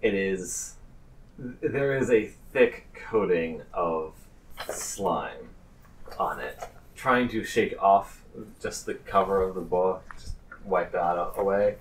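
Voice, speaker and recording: A man narrating a tabletop role-playing game as game master, improvising his descriptions haltingly. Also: low voice. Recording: distant mic with faint noise.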